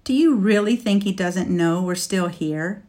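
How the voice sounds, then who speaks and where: A woman voicing a bitter, fierce young warrior maiden in an audiobook, reading her lines with passion that climbs toward shouting. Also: medium pitch, a little slow, natural voice. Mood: insistent. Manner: passionate, rising to near shouting.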